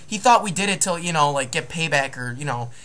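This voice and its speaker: A young man tells a casual, crude story in a bright, forceful voice. His pitch moves around a lot, like an animated host talking over gameplay. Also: fairly quick. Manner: crude, joking. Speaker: host of a gaming video.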